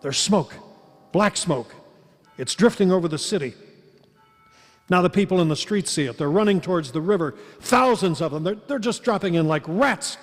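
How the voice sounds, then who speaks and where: High-pitched, frightened radio reporter played by a man. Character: frightened male radio reporter watching a deadly attack. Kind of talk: old-time radio drama acting. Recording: echoey hall, music behind.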